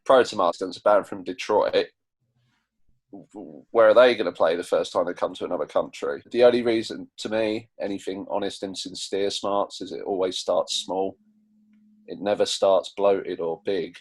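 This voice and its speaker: A man's voice: low-pitched, moderate pace, breathy edge. A young man, a musician being interviewed, chatting casually in a self-deprecating way. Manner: self-deprecating, laughs between phrases.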